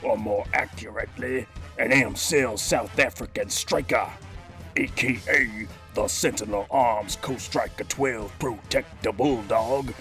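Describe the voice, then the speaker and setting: Man playing a cocky, menacing cartoon gangster, quick-talking. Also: medium pitch, a bit bright. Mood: smug, boastful. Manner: theatrical street slang, swaggering. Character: cocky, menacing cartoon master criminal toy, slangy gangster. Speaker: host of a toy figure review. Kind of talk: scripted in-character review with radio-drama flair.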